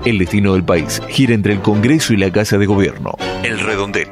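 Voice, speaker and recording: A male station announcer reads scripted show taglines in a slightly low voice. Music plays clearly behind him. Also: brisk.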